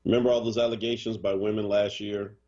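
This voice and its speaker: A man's voice: lowish voice, fast talker, lo-fi sound. A man hosting a morning radio show in casual chat, joking and teasing, with a Boston accent. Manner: animated, joking, does mock voices. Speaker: radio host.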